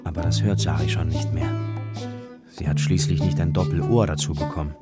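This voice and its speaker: A man's voice: very deep voice, fairly even intonation, brisk. A man narrating a children's radio play as its storyteller, with guitar music behind him. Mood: storytelling. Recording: loud guitar music under the voice. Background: plucked guitar music.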